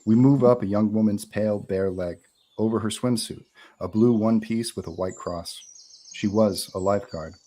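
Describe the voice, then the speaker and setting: Guy narrating a horror script, stage directions, lowish voice, measured reading. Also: steady tone, warm tone. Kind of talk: scripted narration of a screenplay, live table read.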